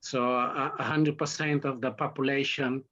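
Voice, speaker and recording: A man from Honduras with a Garifuna accent, a native Garifuna speaker explaining history in an interview in halting English, searching for words. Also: medium pitch, level tone, a bit bright. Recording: dull lo-fi sound.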